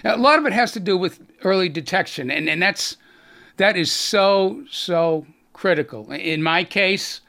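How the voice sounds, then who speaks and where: Earnest Boston-accented man, slightly high. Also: vocal fry, sharp tone. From Boston area, USA. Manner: earnest, halting, many restarts and fillers. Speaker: guest. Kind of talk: radio interview.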